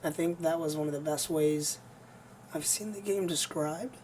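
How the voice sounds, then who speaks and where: A gaming streamer with a hoarse, nearly lost voice, chatting casually and rambling to viewers before playing. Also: medium pitch, steady tone, normal speed, darkish tone, a little hiss or hum. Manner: rambling, easygoing, many fillers.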